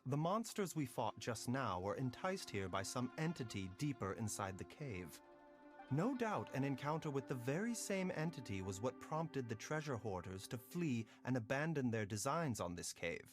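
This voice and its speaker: Man playing a composed, expressive learned doctor. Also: mid-range voice, soft background music, natural voice. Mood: explanatory. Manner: measured, reasoning, articulate. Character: calm, learned, gentle male doctor, adult. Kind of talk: video game voice acting.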